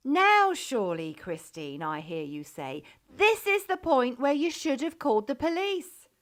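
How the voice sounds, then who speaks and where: An actress playing a challenging narrator in a radio drama, speaking in pointed rhetorical questions. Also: female voice, sits high, sing-song, unhurried.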